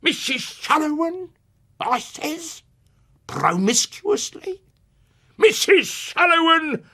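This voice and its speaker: A man's voice: very high voice, sing-song, slow, bright, forceful tone, voice pushed up, heavily acted. A man voicing a quarrelsome, gossiping old London woman, theatrical and indignant, in a dramatised reading. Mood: scornful.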